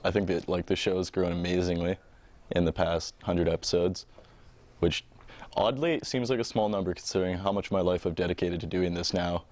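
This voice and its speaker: A man's voice: sits low, steady tone, brisk, darkish tone. A man talking casually and reflectively as a show crew member.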